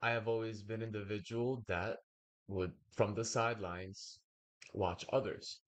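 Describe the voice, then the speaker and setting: Low-voiced man musing slowly on camera. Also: steady tone. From United States. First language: Bulgarian. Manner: slow, hesitant, wordy monologue with pauses. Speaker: reaction streamer. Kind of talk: casual commentary.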